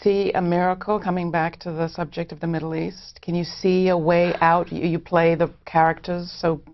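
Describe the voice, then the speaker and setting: Female host, conversational questioner. Slightly low pitch, dull recording with limited clarity. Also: little pitch movement, moderate pace. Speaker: interviewer and host. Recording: dull, lo-fi interview audio.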